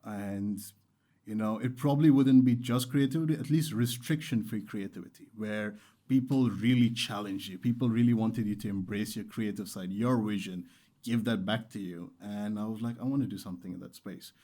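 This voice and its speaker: Male interview guest, young adult from Qatar. Lowish, relaxed tone, conversational storytelling with emphatic, heartfelt delivery. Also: moderate pace. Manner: earnest, enthusiastic, emphatic repetition. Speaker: interview guest, startup founder.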